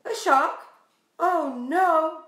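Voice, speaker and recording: A woman in her forties reading a picture book aloud to young children in an expressive, sing-song way. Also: extremely high pitch, drawn-out words. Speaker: preschool storyteller. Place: small bare room indoors. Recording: echoey small room.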